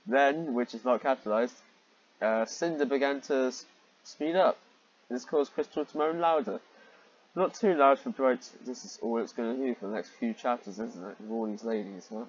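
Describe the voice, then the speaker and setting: A man's voice: medium pitch, moderate pace, lo-fi sound. A man reading amateur fiction aloud with sarcastic commentary and exasperated, incredulous asides.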